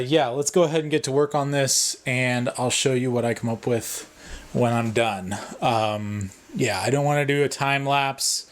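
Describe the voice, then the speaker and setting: A man talking casually to viewers in a vlog, giving tips and walking through plans in an informal, rambling way, as if thinking aloud. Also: medium pitch. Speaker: vlogger, video editor. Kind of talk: casual vlog talk and tutorial.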